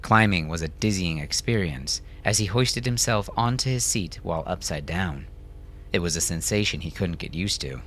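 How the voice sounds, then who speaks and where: Low-pitched male story narrator. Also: expressive, moderate pace, a bit bright, slight background noise. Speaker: audiobook narrator.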